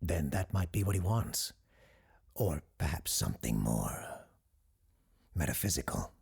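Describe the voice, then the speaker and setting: An actor playing a learned, cautious doctor who hosts a radio show in an audio drama, husky-voiced, hesitant and earnest. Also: male voice, rumbling low, big pitch swings, a little slow, darkish tone, heavily acted. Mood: thoughtful, wary.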